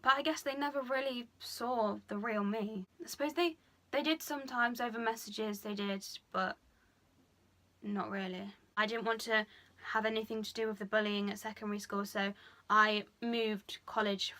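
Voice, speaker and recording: A young woman talking frankly to camera in a personal vlog, chatty and full of fillers, speaking a little lower than she usually does. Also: steady tone, normal speed, sharp tone. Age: young adult. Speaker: vlogger. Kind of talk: candid personal confession.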